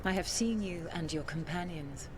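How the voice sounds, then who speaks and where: A woman's voice: on the low side, moderate pace, crowd noise, close to an everyday voice, poor audio. A woman voicing a proud, bitter ancient goddess spirit in a video game, speaking formally and with command. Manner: haughty, formal, commanding speech. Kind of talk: dramatic video game acting.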